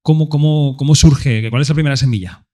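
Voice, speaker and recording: A man's voice: on the high side, a little fast, mellow. A man with a Castilian Spanish accent, presenting a live event and putting questions to a panel as its moderator.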